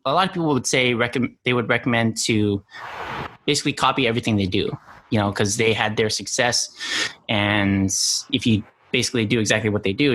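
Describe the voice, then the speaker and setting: A man's voice: mid-range voice, bright, forceful tone. A young man from New Mexico, a guest on a podcast interview, talking casually with lots of "you know" and easy laughs. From New Mexico, USA. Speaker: guest, content creator.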